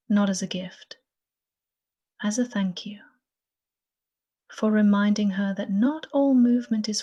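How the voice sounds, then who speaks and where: A woman narrating a bedtime story in a gentle, soothing, partly whispered voice. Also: medium pitch.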